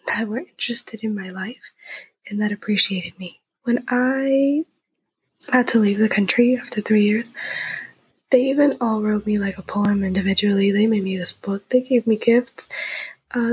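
Husky, breathy nineteen-year-old woman telling her story. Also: a little high, no echo, poor audio. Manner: youthful conversational, emphatic repetition. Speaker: personal storyteller. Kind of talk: candid personal storytelling, casual.

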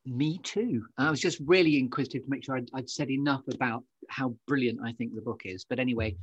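A man's voice: mid-range voice, quick pace, husky, lo-fi sound. A man hosting an interview podcast in a conversational, effusive and wordy way, full of compliments. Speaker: podcast host.

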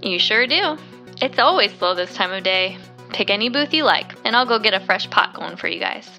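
Bright, chirpy young waitress. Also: female voice, medium pitch, expressive, normal speed, creaky, faint music behind. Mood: friendly, curious. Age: young adult. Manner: chirpy, chatty, informal. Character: chatty, friendly young diner waitress. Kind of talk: audio drama dialogue.

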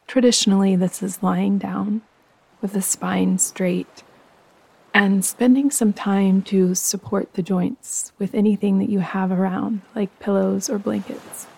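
A woman guiding a yoga nidra meditation in a soft, breathy voice, calm, soothing and unhurried. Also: medium pitch, poor audio. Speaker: meditation guide and host.

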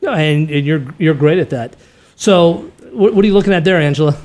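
A friendly male host who rambles a little and repeats words while he steers a casual interview. His voice sits a bit higher than most men's. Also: fairly quick, a little mellow. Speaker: talk show host.

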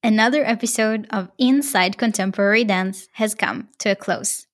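A woman's voice: mid-range voice, normal speed. A woman with an Israeli accent hosting a podcast, effusive and encouraging as she thanks her guest and reads her outro. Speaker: podcast host. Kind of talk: warm interview wrap-up and scripted outro.

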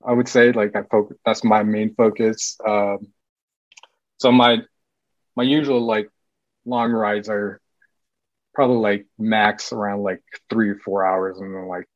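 A man in his late thirties, raised in Sweden and California, chatting as a podcast guest in a loose, rambling way full of "like" fillers and quick laughs. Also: lowish voice, level tone, no echo, muffled recording. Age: late 30s. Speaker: podcast guest, cycling team runner. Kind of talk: casual interview chat.

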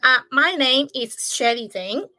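Bright-voiced Chinese-accented woman. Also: high-pitched, animated, dry recording. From China. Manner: halting non-native English with grammar slips. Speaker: guest. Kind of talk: podcast interview.

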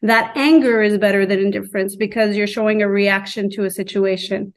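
A woman who teaches high school, speaking earnestly and deliberately in a reflective interview. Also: steady tone.

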